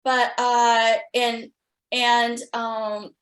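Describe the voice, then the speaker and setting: A woman's voice: fairly high pitch, level tone, very slow, fairly bright tone. A woman hosting a podcast interview in casual, opinionated and emphatic conversation.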